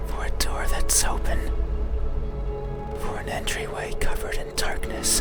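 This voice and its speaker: Horror game monster male actor: slow, breathy whisper, lonely and creepily intimate, craving to be seen. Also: close to an everyday voice. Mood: secretive yearning. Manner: slow, halting, intimate, menacing. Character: lonely, creeping shadowy night creature, obsessively yearning to be seen. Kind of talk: whispered horror visual novel monologue. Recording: eerie horror music under the voice. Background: loud scary music.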